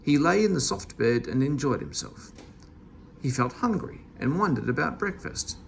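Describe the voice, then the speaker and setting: Male storybook narrator, very animated intonation, gravelly edge, slightly noisy recording. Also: medium pitch, normal speed. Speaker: storybook reader. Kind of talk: children's story read aloud.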